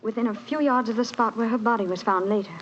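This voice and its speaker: An actress playing an earnest, distressed young governess in a dramatic 1940s radio style. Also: female voice, fairly high pitch, lightly acted. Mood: earnest, troubled. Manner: earnest, dramatic, halting under emotion. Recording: muffled, noisy 1940s radio recording.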